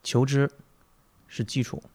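A man's voice: mid-range voice, steady tone, slow pace, a little mellow. A man hosting a reflective conversational interview, speaking emphatically and repeating phrases to drive points home. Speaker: podcast host and teacher.

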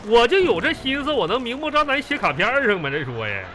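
A man's voice: very high-pitched, sing-song, fast, sharp tone, slight background noise, full character voice. A man playing a hapless, teasing middle-aged husband in a comedy radio drama, blustering through excuses with a Northeastern Chinese accent. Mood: flustered pleading. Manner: blustering, folksy, excuse-making. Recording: music behind the voice. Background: background music.

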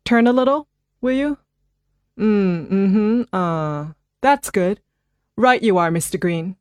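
A woman voicing a calm, reassuring family doctor in a scripted listening dialogue. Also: mid-range voice, no room sound, clean studio sound, natural voice. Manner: clear, measured, reassuring delivery.

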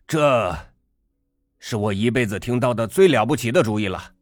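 A man reading a story aloud, voicing a brash, loud middle-aged father who bullies waiters with sarcasm. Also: mid-range voice, animated, normal speed, no room sound, clean studio sound, voice pushed up, lightly acted. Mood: mocking.

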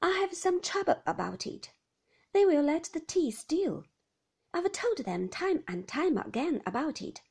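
A woman voicing a bright, cheerful middle-aged nurse who talks down to her elderly patient. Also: sits high, big pitch swings, measured pace, voice pushed up, exaggerated voice. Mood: chirpy. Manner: cheerful, coaxing, talking down to a patient.

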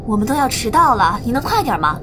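A woman playing an impatient, hurried mother in a radio drama. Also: a little high, big pitch swings. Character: impatient young mother rushing off to work. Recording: faint background noise, vehicle ambience.

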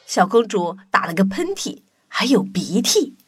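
Animated woman narrating a children's story. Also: medium pitch, a little slow, music in the background.

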